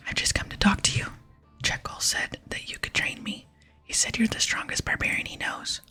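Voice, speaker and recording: A woman's voice: normal speed, sharp tone, soft background music, natural voice. Woman whispering as an eager young-at-heart adventurer. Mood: polite. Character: eager, easily flustered female adventurer in training. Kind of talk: whispered ASMR reading of game dialogue.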